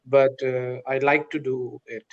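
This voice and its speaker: Man, Pakistani accent, polite, steady, frequent uh fillers, mid-pitched, video call. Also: a little slow, muffled recording. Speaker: English teacher, channel host. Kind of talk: casual video-call conversation. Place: school, indoors.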